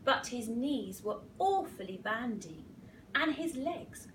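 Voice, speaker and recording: Slow, animated woman reading a children's story. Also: on the high side. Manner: measured, animated, dramatic delivery. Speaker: head teacher reading a bedtime story. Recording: roomy, distant mic, faint noise.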